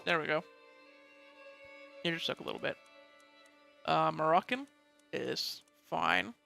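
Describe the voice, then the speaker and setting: A man's voice: on the high side, lively intonation, slow, gravelly, sharp tone, faint music behind. A man giving casual let's play commentary, thinking aloud and reading bits of game text, with some mild swearing.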